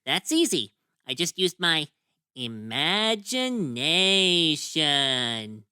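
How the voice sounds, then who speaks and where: A man's voice: a little high, big pitch swings, extremely slow, sharp tone, smooth, dry recording, studio quality, heavily acted. A man voicing a cheerful, naive young male cartoon sponge who talks in an eager, sing-song way, in a comic fan dub.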